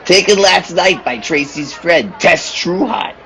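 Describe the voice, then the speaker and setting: An actor playing a troubled, secretive circus clown in an old-time radio play, speaking deliberately and ominously. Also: male voice, a little high, lively intonation, creaky, bright, forceful tone, some chatter behind, exaggerated voice. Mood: secretive, tense. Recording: dull, lo-fi call audio.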